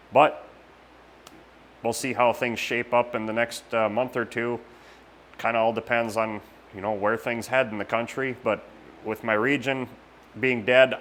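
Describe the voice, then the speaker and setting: A man giving a casual update to camera as a machine shop host, plain-spoken and halting with pauses. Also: lowish voice, fairly bright tone, slight background noise. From United States.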